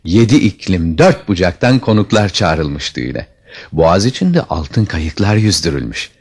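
Guy reading a fairy tale, really low voice, super expressive n dramatic storyteller. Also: moderate pace, slightly rough. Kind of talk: scripted fairy tale narration.

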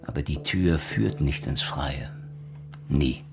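Deep male voice, earnest police inspector, radio crime drama, measured and reflective, music underneath. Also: creaky, exaggerated voice. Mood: grave, reflective.

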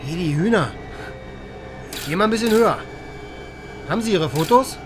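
An actor playing a cynical, sweary East German lieutenant and helicopter pilot in a naturalistic radio crime drama, agitated and sarcastic. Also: male voice, sits high, expressive, normal speed, noisy background. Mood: tense, alert.

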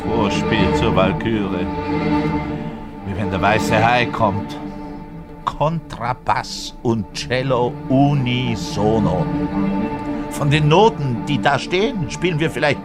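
An actor playing an embittered, pedantic orchestral musician in a conversational, digressive radio-play monologue. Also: male voice, medium pitch, takes it slowly, over loud music, close to an everyday voice. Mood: scornful, animated. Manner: digressive, emphatic, grumbling. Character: embittered, pedantic male orchestral double bass player, ranting and lecturing.

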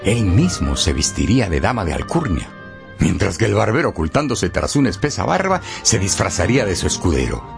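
A man narrating a scripted radio drama as a storyteller, in a dramatic and expressive way. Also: low voice, a little fast, vocal fry, over music.

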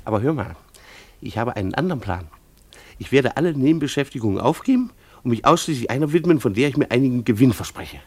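An actor playing a harried schoolteacher and father in a German radio play, earnest and wry, with formal, deliberate phrasing. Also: medium pitch, expressive, a little hiss or hum, close to an everyday voice. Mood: resolute, explaining.

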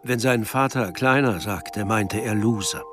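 A man's voice: on the low side, measured pace, soft background music, lightly acted. A man narrating a melancholy literary story in an audio drama. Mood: measured narration. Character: male narrator of a melancholy novel.

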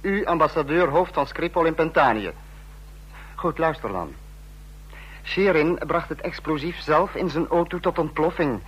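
An actor playing a sarcastic, domineering dictator in a radio drama, husky-voiced and biting as he scolds his subordinates. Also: on the high side, moderate pace, low-quality recording. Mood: scornful anger.

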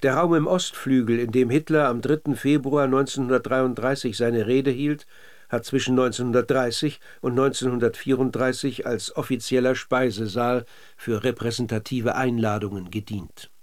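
A man narrating scripted documentary radio in a measured, factual manner. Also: mid-range voice, steady tone.

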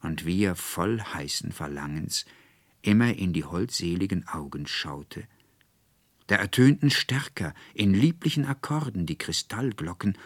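A man narrating a literary tale as an audiobook reader, in an expressive storytelling style. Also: on the low side, no echo, clean studio sound.

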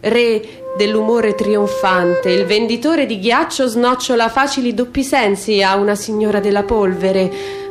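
A woman giving a theatrical literary recitation in a measured, declamatory way with dramatic pauses. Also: medium pitch, steady tone. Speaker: stage reader and performer. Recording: music under the voice. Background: instrumental music.

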